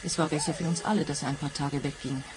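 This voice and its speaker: A woman's voice: low-pitched, steady tone, quick pace, background noise, close to an everyday voice. An actress playing a composed young widowed mother who speaks matter-of-factly in a radio play.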